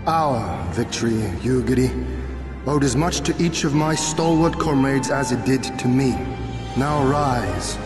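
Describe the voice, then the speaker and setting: An actor playing an earnest, commanding exiled lord in a fantasy game, speaking formally and statelily. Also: male voice, medium pitch, animated, a little slow, lightly acted. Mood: gracious, assured. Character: noble exiled lord leading his homeland's liberation, earnest and commanding. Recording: echoey reverberant hall, music behind.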